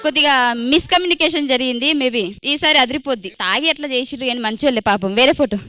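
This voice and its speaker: A woman's voice: high-pitched, quick pace. A woman doing playfully boastful comic banter as a team leader on a stage show. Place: TV studio stage. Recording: loud music behind voice.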